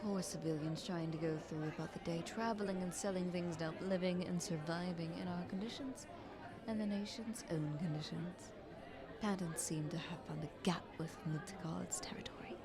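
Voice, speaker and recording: Woman acting haughty scheming queen, lowish voice, murmuring crowd. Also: moderate pace, lightly acted. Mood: insinuating, condescending. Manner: haughty, ornate formal courtly speech. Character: visiting queen, scheming, flattering, condescending royal negotiator. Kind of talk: audio roleplay acting.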